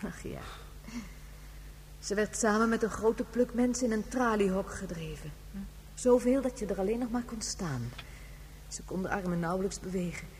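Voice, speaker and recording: An actress as a very old woman in a sci-fi radio play, gentle and reflective. Her voice sits at an ordinary female pitch and rings in a long reverb over a humming noise. She tells things in a measured, reminiscing way. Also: lively intonation, close to an everyday voice. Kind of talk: Dutch science fiction radio drama acting.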